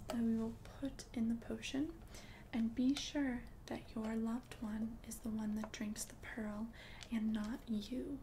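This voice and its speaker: A woman voicing an ancient, confident witch in a whispered ASMR roleplay, speaking in a soft, breathy, deliberate and soothing way. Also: on the high side, heavy background noise. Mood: calm, confident. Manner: slow, deliberate, soothing, repeats words for emphasis. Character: ancient, confident witch brewing love potions.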